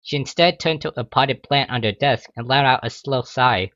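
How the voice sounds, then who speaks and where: A man's voice: medium pitch, moderate pace, a bit bright, dry recording. Male amateur narrator, mid-range voice, scripted story reading with occasional retakes, very dry lo-fi sound. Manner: stumbles and rereads phrases. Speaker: narrator, fan fiction reader.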